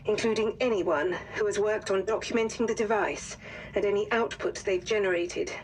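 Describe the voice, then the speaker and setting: A woman playing a composed wartime intelligence officer in a game, delivering formal, grave, measured mission briefings. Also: medium pitch, steady tone, a little hiss or hum, natural voice, low-quality recording. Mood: grave, urgent. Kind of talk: scripted dramatic briefing narration.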